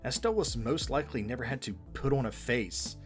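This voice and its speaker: A man's voice: medium pitch, expressive, brisk, soft background music. A man giving scripted back-and-forth commentary as co-host of a fan show.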